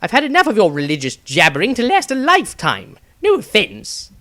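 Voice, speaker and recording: A man performs a foolish wizard from a fantasy audio story, pitched high and swooping loudly. He is cocky and excitable, blustering through every exclamation. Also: brisk, dry recording, heavily acted. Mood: agitated.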